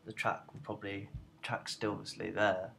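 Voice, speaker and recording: A young man with a deep, creaky voice talks through a software tutorial. He is informal and unscripted, feeling his way hesitantly from step to step. There is light noise behind his voice. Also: flat delivery, moderate pace. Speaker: tutorial presenter. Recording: noticeable background noise.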